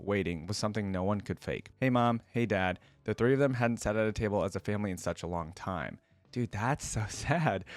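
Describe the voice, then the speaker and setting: A man's voice: on the low side, dry recording. A man hosting a reaction video, reading a story aloud and commenting on it as a casual fan. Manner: casual, slangy asides.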